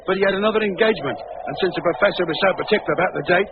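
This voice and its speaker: An actor with a working-class British accent playing a humble, defensive sideshow impostor in 1940s radio drama style, with colloquial speech. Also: male voice, high-pitched, racing. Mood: sheepish. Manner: colloquial, protesting. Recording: noisy, tinny old radio recording.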